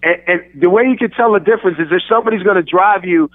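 A man's voice: sits high, fast, dry recording. A man with a light New York accent, the guest on a radio show, talking casually with many restarts. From born in London, raised in Queens, New York. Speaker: guest rapper. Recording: tinny phone-like sound.

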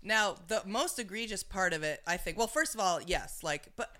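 Brief: woman podcast co-host, bright voice, animated and crude, rambling restarts. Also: mid-range voice, moderate pace. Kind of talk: casual political chat.

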